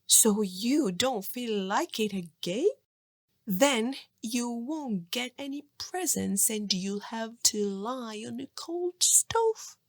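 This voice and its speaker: A woman's voice: on the high side, animated, takes it slowly, dry recording, heavily acted. A woman voicing scolding peasant sisters-in-law in a children's storybook folk tale. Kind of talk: children's storybook character reading.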